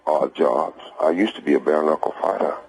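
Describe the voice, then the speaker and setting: An extremely deep, gravelly-voiced man with a Southern accent, calling in to a radio show. He talks in a slow, hypnotic rhythm broken by short, quick breaths, and the sound comes off an old radio broadcast. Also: poor audio. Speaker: radio caller. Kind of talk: call-in radio talk.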